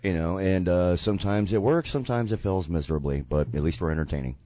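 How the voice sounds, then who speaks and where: Very deep-voiced Southern man hosting radio. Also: fast talker, warm tone, dry recording, dull audio. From American South. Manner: joking, rambling, laid-back. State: congested from sinuses. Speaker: radio show host. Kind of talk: casual radio talk show banter. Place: radio station studio.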